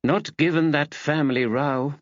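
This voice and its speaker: A male audiobook reader performs a thoughtful, easily dismayed woman, her voice raised and expressive. She speaks earnestly, in a close, dry recording that sounds slightly dull. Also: a little high, normal speed, no room sound, pitched above the performer's own voice, close to an everyday voice. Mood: earnest, pensive. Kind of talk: audiobook character dialogue.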